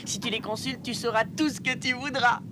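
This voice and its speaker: A woman voicing an eager, clever young boy inventor in an animated dub, talking earnestly and explaining things. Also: a little high, fairly quick, background noise, close to an everyday voice. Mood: excited.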